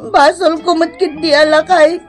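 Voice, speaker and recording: A woman acting a simple-minded, excitable married mother in an Ilocano comedy radio drama, with an Ilocano accent and drawling, melodramatic delivery. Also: extremely high pitch, normal speed. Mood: whining.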